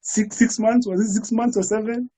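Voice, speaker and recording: A woman's voice: fairly high pitch, steady tone, normal speed, mellow, slightly rough, low-quality recording. A woman with a South African accent hosting a casual, slangy interview. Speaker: interview host.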